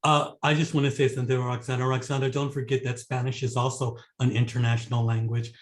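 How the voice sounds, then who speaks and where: Male participant, relaxed and folksy, ends remarks with tag questions, heard over a video call. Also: medium pitch, fast. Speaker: meeting participant. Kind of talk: casual discussion.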